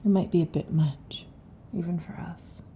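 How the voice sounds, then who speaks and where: Woman playing a female snake-monster girlfriend, ASMR roleplay, low mellow voice, husky whispering, halting, possessive, predatory. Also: fairly even intonation, a little hiss or hum, lightly acted. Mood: coaxing, needy. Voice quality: breathy, husky half-whisper. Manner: halting, teasing, predatory intensity. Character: restless, possessive female lamia monster girlfriend next door.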